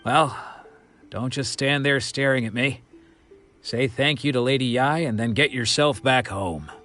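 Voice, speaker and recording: An actor playing a stern, gruff swordsman mentor who speaks bluntly, in a video game cutscene. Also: medium pitch, a little slow, fairly bright tone, faint music behind, lightly acted.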